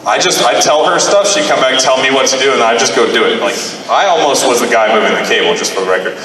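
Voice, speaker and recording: A man's voice: mid-range voice, fast talker, sharp tone. Improv host: man, loose profane delivery, long hall reverb and background noise. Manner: profane, loose and joking, directs the skit. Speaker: improv comedian, skit host. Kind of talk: live stand-up improv. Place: bar stage with live audience. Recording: echoey hall, distant mic, noise.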